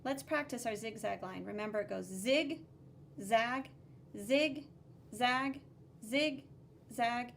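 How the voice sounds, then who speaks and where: A woman with a very high voice reads a picture book aloud for children as an art teacher. She takes her time, stressing key words in a sing-song way and explaining the drawings simply. There is faint noise behind her. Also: slow, gravelly, long pauses, fairly bright tone. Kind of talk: expressive read-aloud with drawing commentary for children.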